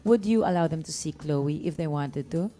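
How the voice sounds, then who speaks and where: A woman hosting an interview, chatty and friendly, firing off quick follow-up questions. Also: low voice, mellow, faint music behind. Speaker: talk show host.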